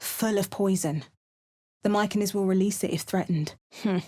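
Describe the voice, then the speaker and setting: A woman's voice: mid-range voice, steady tone, normal speed, darkish tone, lightly acted. Woman voicing a wry young adventurer. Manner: dry, wry asides. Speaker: player character adventurer. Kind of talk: game voice lines.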